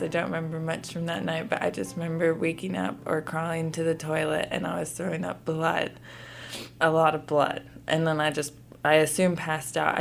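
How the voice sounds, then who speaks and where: A woman's voice: low voice, creaky. A woman in her twenties telling her own story in a casual way, with many "like" fillers. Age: 20s. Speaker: interviewee. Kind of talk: personal testimony.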